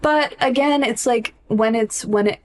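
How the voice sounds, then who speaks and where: A woman's voice: fairly high pitch, a little slow. A woman co-hosting a podcast, chatting casually and informally about books, with swearing and lots of "like". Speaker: podcast co-host.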